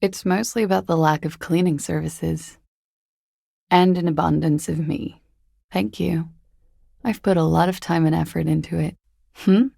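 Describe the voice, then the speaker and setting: Casting: a secretive young female disco dancer in a game, coy and understated, very gravelly fry, close dry sound. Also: deep voice, a little mellow, no echo, heavily acted. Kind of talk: video game voice acting.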